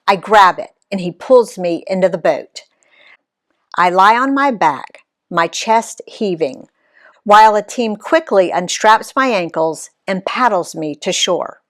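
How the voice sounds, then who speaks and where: A woman reading her own travel story aloud in an expressive read-aloud style, giving the quoted people voices of their own. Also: mid-range voice. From Alabama, USA. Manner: unhurried, lively reading that voices the quoted characters.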